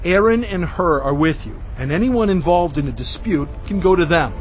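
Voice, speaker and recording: An actor performs a firm male leader in a scripture drama. His voice is pitched high and he declaims gravely. The recording is a little muffled. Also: expressive, moderate pace, soft background music, close to an everyday voice.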